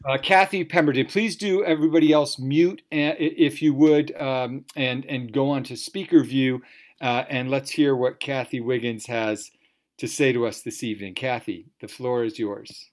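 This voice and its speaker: A man's voice: mid-range voice, steady tone, moderate pace. A man serves as MC of a virtual storytelling event, talking over a video call. He speaks off the cuff in long, playful sentences, with a mock-grand turn of phrase and a jokey touch. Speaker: host and MC of a story slam.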